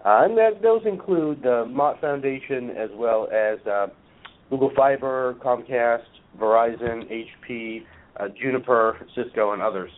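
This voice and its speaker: A man with a hoarse voice from a cold, a guest in an interview, explaining at a measured pace with many filler pauses. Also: medium pitch. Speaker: guest, nonprofit executive. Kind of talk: conversational interview answers. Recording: phone-line audio.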